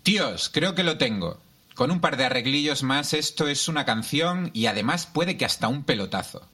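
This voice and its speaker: A man's voice: a little high, lively intonation, normal speed, pushed and bright, soft background music. A man playing a practical, wry young musician in an audio drama, talking casually in slang. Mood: wry, confident.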